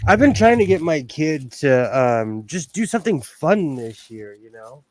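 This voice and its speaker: A man's voice: fairly high pitch, very animated, unhurried, no room sound. A middle-aged man with a Southern Californian accent, hosting a casual livestream and rambling between chuckles while he greets viewers. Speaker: livestream host. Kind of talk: casual chat while sewing, reading chat.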